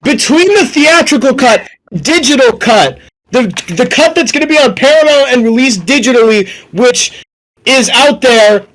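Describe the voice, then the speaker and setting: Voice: high voice, expressive, moderate pace, a bit bright, low-quality recording. A young adult talking casually on a podcast, informal and prone to swearing. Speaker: podcast speaker.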